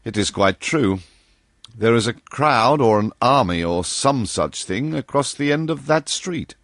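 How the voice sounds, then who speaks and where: A man voicing an earnest, keen-eyed young male poet who speaks in a measured way. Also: medium pitch, expressive, a bit bright, dry recording, studio quality. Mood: grim.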